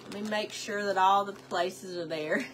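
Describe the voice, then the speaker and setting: A woman's voice: mid-range voice, fairly even intonation, moderate pace, slight background noise, low-quality recording. A woman, sounding stuffed up from a cold, chatting casually to viewers while she works on a craft. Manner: chatty, talks to herself while working, greets viewers by name. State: congested from a cold. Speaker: craft livestream host. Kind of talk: casual craft livestream chat.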